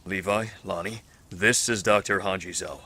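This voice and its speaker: A man playing a cautious, measured doctor who leads a group of apocalypse survivors in an audio drama. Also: deep voice, fairly even intonation, sharp tone, heavily acted. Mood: polite, guarded. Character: weary, cautious doctor leading survivors, formal and polite. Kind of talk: fan audio drama dub acting.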